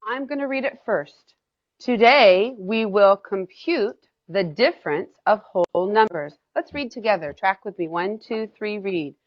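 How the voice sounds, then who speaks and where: A woman teaching a class, who reads a lesson sentence aloud slowly and deliberately. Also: mid-range voice, dry recording, dull audio.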